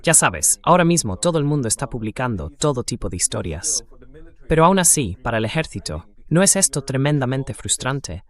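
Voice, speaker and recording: A synthetic male voice-over reading a translated conversation about war and politics in an interview style. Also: medium pitch.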